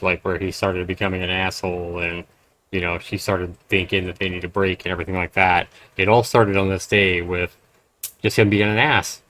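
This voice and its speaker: A man's voice: deep voice, a bit bright, poor audio. A man streaming a game, reading its text aloud and adding blunt, profane casual commentary.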